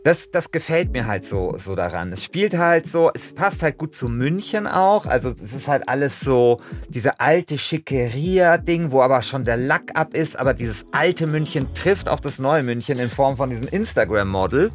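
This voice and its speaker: Man, casual co-host chat, lively intonation, colloquial, emphatic, faint music behind. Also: mid-range voice, normal speed, few pauses. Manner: colloquial, emphatic, thinking aloud. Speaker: podcast co-host and writer.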